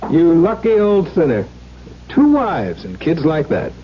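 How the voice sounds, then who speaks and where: A blunt, hearty gentleman bachelor talks frankly in a 1970s radio play. His pitch moves animatedly. The old recording is dull, with a slight hum. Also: huge pitch swings, measured pace. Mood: jovial, concerned. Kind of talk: 1970s radio drama acting. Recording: dull vintage radio broadcast, faint hum.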